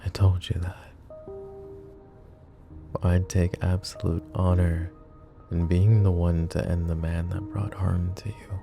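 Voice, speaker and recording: Man playing a mafia boss, deep male voice, creaky low edge, hushed, possessive, tender yet menacing, deliberate phrasing, ASMR roleplay, soft background music. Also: flat delivery, heavily acted. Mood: devoted, intense. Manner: hushed, deliberate, tender, often dropping to whispers. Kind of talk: intimate ASMR roleplay acting.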